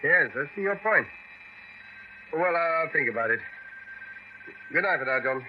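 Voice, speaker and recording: Pensive proud professor type, hesitant n deliberate, muffled old radio drama w faint hiss. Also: male voice, a little high, lively intonation. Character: proud, pensive male academic philosopher. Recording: dull, slightly noisy vintage radio recording.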